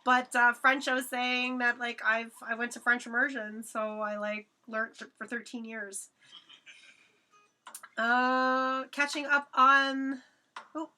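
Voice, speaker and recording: A woman's voice: fairly high pitch, little pitch movement, slow, pushed and bright. A young woman hosting a painting livestream, chatting casually with viewers and reading out their comments, swearing freely and laughing often; her first language is Hebrew. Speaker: livestream host. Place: home studio while painting.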